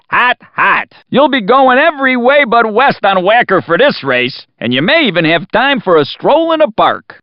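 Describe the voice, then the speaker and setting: An actor playing a folksy, wisecracking American announcer in a racing video game, with showy scripted commentary. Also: male voice, sits high, clean tone, no echo. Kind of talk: scripted radio-DJ style race commentary. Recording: muffled, lo-fi game audio.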